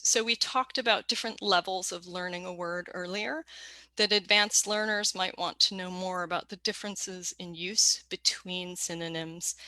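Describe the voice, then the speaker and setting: A woman with a bright, crisp tone explains a reference website step by step in an upbeat, teacherly manner. She sounds close to the mic in a dry room with no echo, and her voice sits at a middle pitch. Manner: clear, upbeat, instructional. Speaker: dictionary presenter. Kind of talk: explanatory screen walkthrough.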